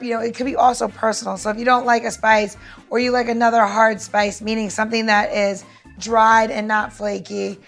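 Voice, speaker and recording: A woman's voice: fairly high pitch, vocal fry, a bit bright. A woman co-hosting a podcast, explaining a recipe step by step in an informal, instructive way. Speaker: podcast co-host and cocktail maker.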